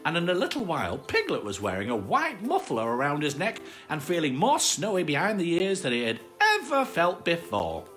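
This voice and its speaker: A playful storytime reader with a mid-pitched voice that swoops dramatically. The delivery is live and slightly stumbling, with faint music behind it. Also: wildly swooping pitch, moderate pace, few pauses, fairly bright tone. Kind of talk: animated children's story reading with asides to viewers.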